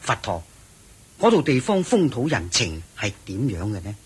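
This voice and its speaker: An actor playing an eager, respectful young disciple monk who asks deferential questions in Hong Kong Cantonese, in radio drama style. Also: male voice, medium pitch, huge pitch swings, moderate pace, breathy edge, exaggerated voice. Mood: eager, curious. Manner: deferential, inquisitive. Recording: faint background noise.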